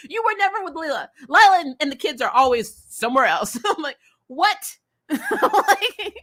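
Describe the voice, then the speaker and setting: Bright, forceful woman ranting casually about TV. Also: sits high. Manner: animated, exasperated, laughs often, sweary; acts out imagined dialogue. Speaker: host of a TV recap show. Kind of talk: casual opinionated review commentary.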